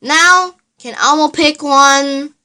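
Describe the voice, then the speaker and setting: A woman's voice: high-pitched, slow, pushed and bright, exaggerated voice. A woman voicing a small, cheerful, childlike furry monster in a storybook, polite and eager.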